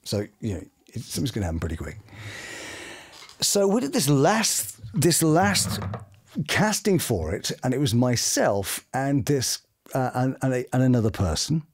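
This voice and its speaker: Animated British man, swooping pitch. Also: moderate pace. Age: middle-aged. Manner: expressive, dramatic storytelling. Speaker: voice actor guest. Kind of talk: animated anecdote in a casual interview. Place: small home studio, indoors.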